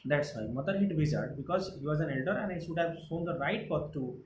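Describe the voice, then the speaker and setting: A man with an Indian English accent teaches a chapter. His voice sits a little high, and he speaks in an earnest, repetitive way with frequent pauses. The echoey room has clear background noise. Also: lively intonation. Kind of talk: explaining a textbook chapter.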